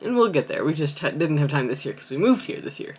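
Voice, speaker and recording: A woman's voice: low-pitched, very animated, fast talker, darkish tone, slightly rough. A young adult woman, an aspiring author, chatting casually at home in a vlog, rambling and correcting herself as she thinks aloud. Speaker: aspiring author vlogging her writing.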